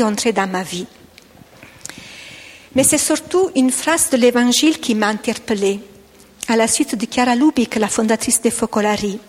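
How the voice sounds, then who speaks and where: Middle-aged woman, Italian accent, gentle halting testimony, reverberant hall. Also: fairly high pitch. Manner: gentle, hesitant, with frequent restarts. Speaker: speaker giving testimony. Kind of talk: personal faith testimony to an audience.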